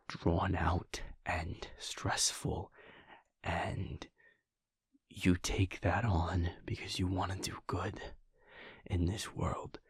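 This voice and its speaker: A man voicing a caring male werewolf boyfriend in an audio roleplay, talking softly and earnestly, often half-whispered. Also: low-pitched, slightly rough, dry recording, clean studio sound, heavily acted.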